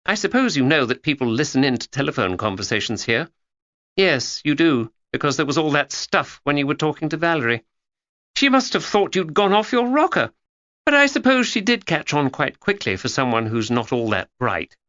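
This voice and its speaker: A man's voice: fairly high pitch, big pitch swings, normal speed, fairly bright tone, no room sound, highs cut off, pitched above the performer's own voice. A man voicing a chattering, know-it-all schoolgirl who lectures and teases. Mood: lecturing. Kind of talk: audiobook character voice.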